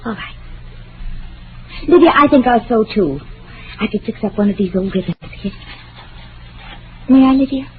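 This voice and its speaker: A woman's voice: fairly high pitch, expressive, moderate pace, long pauses, faint music behind, natural voice. An actress playing a childlike, lonely woman of nearly forty in an old-time radio drama, with a timid, wistful, pleading manner. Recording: tinny, noisy old radio recording.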